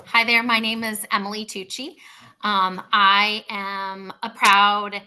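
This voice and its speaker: Very bright, forceful woman teacher. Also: mid-range voice, level tone, takes it slowly, smooth. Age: middle-aged. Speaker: science teacher and athletic trainer. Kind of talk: self-introduction at a webinar.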